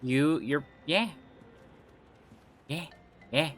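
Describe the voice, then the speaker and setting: A man's voice: on the high side, very slow, fairly bright tone, faint music behind. A man chatting casually with viewers on a gaming livestream, rambling, trailing off and restarting, his throat a little phlegmy. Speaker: game streamer.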